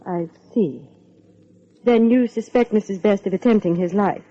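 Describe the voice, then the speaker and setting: An actress playing a proper, reserved social secretary, formal and guarded, in old-time radio drama style. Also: female voice, mid-range voice, moderate pace, dark tone, close to an everyday voice. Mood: confiding, uneasy. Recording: muffled old radio recording.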